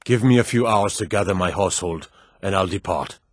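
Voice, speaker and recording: An actor playing a proud, stern middle-aged warrior lord in a fantasy game, with a commanding, measured delivery. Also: male voice, deep voice, dry recording. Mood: bitter, grave. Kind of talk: scripted fantasy game dialogue.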